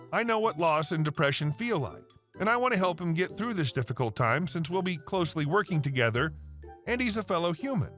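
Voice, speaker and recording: A man reading story posts aloud as narrator, in an even, unhurried way. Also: on the high side.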